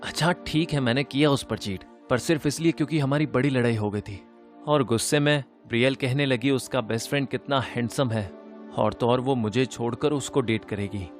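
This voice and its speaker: A man playing a sincere, wounded teenage boy in an animated story, speaking earnestly and expressively. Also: mid-range voice, brisk, lightly acted. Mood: confessing. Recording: music behind the voice.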